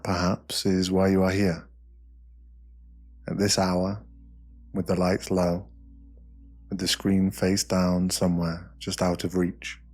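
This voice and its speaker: A British man narrating an ASMR guided meditation in a slow, hushed, soothing way, with short phrases and pauses. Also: low-pitched.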